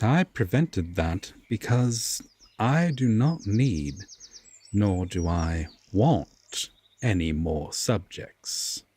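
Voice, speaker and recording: Guy doing a dark fae king, low voice that swings around a lot, condescending and breathy, fantasy roleplay audio. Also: heavily acted. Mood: ominous, stern. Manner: deliberate, commanding, drawn-out pauses, condescending. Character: haughty, dark, reluctantly protective male fae king. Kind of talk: slow, dramatic roleplay audio acting.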